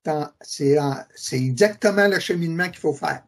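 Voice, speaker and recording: Husky-voiced Québécois man, fervent testimony. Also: on the high side, normal speed. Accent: Québécois French. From Quebec City, Canada. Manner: fervent, repeats affirmations, many hesitations. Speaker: guest. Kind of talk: earnest interview testimony.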